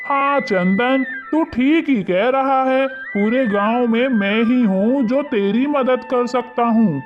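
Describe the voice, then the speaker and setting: A man playing a shrewd, suspicious village moneylender in an animated moral story, speaking with condescension. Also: very high-pitched, slow. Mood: condescending, suspicious. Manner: condescending, wary. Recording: muffled lo-fi audio under loud music.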